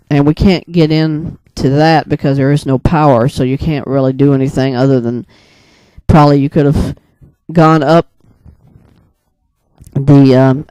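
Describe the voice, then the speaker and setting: Male casual storyteller, very unhurried and hesitant, smooth soft voice, close dry sound. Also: medium pitch, dry recording, poor audio. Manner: hesitant, rambling, pauses often mid-sentence. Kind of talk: casual first-person storytelling, recounting a dream.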